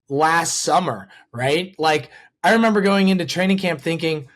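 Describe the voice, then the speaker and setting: An interviewer hosting a casual sports conversation in a rambling, conversational and enthusiastic way. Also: mid-range voice. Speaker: podcast host and interviewer.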